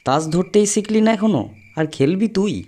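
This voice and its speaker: A man's voice: high voice, animated, moderate pace, mellow. A man playing a chatty, teasing young man on holiday with friends in an audio story, talking in exclaiming colloquial phrases.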